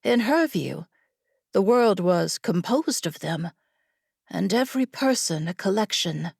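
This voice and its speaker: A woman narrating fantasy fiction from a script in a measured, deliberate storytelling manner. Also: mid-range voice, animated, gravelly, darkish tone, slightly rough, no echo, studio quality. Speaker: audiobook narrator.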